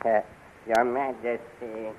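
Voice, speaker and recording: A man playing an obsequious, secretly resentful court magician with formal, deliberate delivery in a 1930s radio serial. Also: mid-range voice, flat delivery, narrow, tinny audio, natural voice. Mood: servile. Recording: phone-thin, noisy 1930s radio recording.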